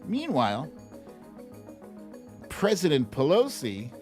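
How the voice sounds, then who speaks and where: A man hosting a live show with casual, conversational commentary, using playful emphasis and dramatic pauses. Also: on the high side, wildly swooping pitch, music in the background. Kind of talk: casual livestream commentary, reading viewer comments.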